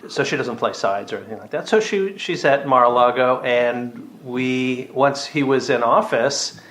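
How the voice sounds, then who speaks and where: A man's voice: mid-range voice, normal speed. Chatty middle-aged man, French native. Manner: storytelling, relaxed and chatty. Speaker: podcast guest. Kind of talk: casual chat.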